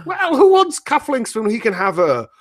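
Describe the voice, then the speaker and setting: A man playing a genial, avuncular gentleman detective handing out Christmas gifts in an old-time radio comedy. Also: very high voice, wildly swooping pitch, moderate pace, full character voice. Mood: jolly, avuncular.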